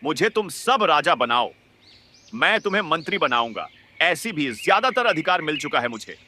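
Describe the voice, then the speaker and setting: A man voicing a cunning, power-hungry wolf in an animated Hindi moral story, pushy and insistent. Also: fairly high pitch, normal speed, a little hiss or hum. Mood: scheming.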